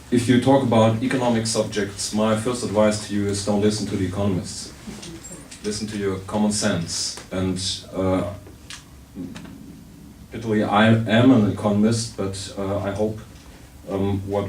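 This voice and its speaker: A man with a sore throat and a soft voice, giving a blunt, wry informal talk to an audience, restarting his sentences often. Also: lowish voice, fairly even intonation, normal speed. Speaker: speaker at a public debate. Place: hall with an audience. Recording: roomy, distant hall recording with faint noise.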